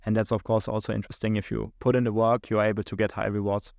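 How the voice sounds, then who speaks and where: Young German-accented man, podcast guest; gravelly, monotone, halting and filler-heavy. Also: on the low side, no room sound, clean studio sound. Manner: halting, many restarts and fillers, laughs between thoughts. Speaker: guest, account executive. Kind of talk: podcast interview.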